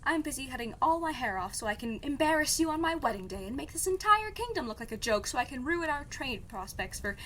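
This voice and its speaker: A woman's voice: high voice, big pitch swings, brisk, few pauses, fairly bright tone, slight background noise. A woman playing a stubborn, sardonic young princess in an audio drama, speaking in a dry, defiant way. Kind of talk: audio drama podcast acting.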